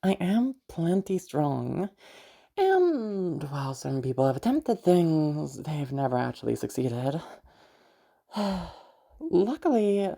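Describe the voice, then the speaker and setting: A woman playing a protective, easygoing big sister in an intimate audio roleplay, speaking in a halting, reassuring way with sighs and casual swearing. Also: deep voice, creaky, mellow, heavily acted. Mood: teasing, reassuring. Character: protective, mysterious, easygoing big sister escorting a new acquaintance.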